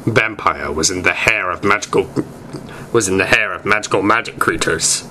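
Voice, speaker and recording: A man's voice: fairly low pitch, sharp tone. A man reading fan fiction aloud in a theatrical amateur style, stumbling over words now and then. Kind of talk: dramatic amateur story reading. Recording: noisy amateur recording.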